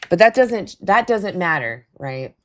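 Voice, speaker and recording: A woman hosting a casual livestream call-in with a raspy, hoarse voice. She chats loosely, laughs often and talks back to her chat. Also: lowish voice, very animated, a little slow, a bit bright, a little hiss or hum. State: hoarse throat.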